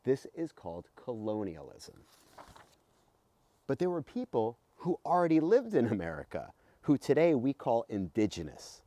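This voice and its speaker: A middle-aged man reads aloud to children like an expressive teacher. His pitch moves widely as he stresses key words and explains as he goes. The voice is soft-edged and mellow, captured dry and close. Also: no echo. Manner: expressive, emphatic, with rhetorical questions. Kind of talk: animated read-aloud for children with explanatory asides. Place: outdoors beside a house.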